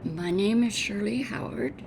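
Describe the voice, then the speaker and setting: An older American woman from Washington State recounting her life in a halting, plain-spoken way, as an oral history interviewee. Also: mid-range voice. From Washington State, United States. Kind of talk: unscripted personal reminiscence. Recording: clear vehicle and ambience noise behind. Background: added steam train sound effects.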